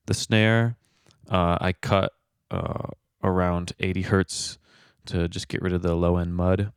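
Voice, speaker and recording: Young man, very low pitch, smooth resonant voice, laid-back musician vlog, dry close-miked sound. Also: a little mellow, dry recording. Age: 20s. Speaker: musician and host of a home recording video. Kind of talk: casual explanatory vlog talk. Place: small home studio room, indoors. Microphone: close microphone, handheld or on a stand.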